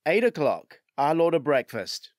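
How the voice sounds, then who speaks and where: A man's voice: on the high side, sing-song, a little slow, no room sound, clean studio sound, pitched above the performer's own voice. A man voicing a good-natured, plain-spoken male bodyguard in an audiobook. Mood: cheerful.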